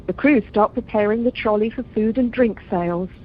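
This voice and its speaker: A woman's voice: mid-range voice, fairly quick, over loud music, close to an everyday voice. A woman acting as narrator, reading scripted action for an amateur comedy radio play. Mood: descriptive. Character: female narrator describing the action. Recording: muffled conference call, faint noise.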